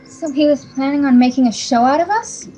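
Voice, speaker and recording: Woman playing a high-voiced, defiant young heroine. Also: brisk, dark tone, faint music behind, lightly acted, low-quality recording. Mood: wary. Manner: challenging, scornful. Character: eighteen-year-old female champion, defiant, indignant, wary.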